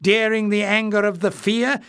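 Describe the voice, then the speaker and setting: A man's voice: high voice, little pitch movement, moderate pace, a bit bright, smooth, no room sound, clean studio sound, pitched above the performer's own voice. A man voicing a sullen young male goblin who sneers and retorts furiously.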